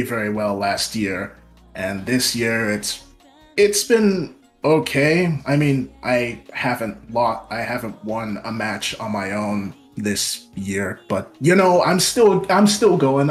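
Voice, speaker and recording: A man's voice: fairly low pitch, expressive, slow, soft background music. A young man from Nigeria, a VTuber guest, talking casually and a little self-deprecatingly about himself in an interview.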